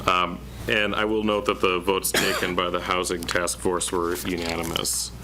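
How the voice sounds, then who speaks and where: A man presenting as a city planning director at a public meeting, in a self-described monotone with many uh fillers. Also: deep voice, normal speed, very noisy. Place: council chamber.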